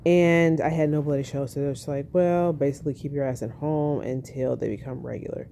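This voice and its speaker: A young woman, a tired new mother, telling her birth story in a casual, monotone vlog at home, speaking softly with a baby on her chest. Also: fairly quick, vocal fry, slight background noise. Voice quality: monotone, low-key.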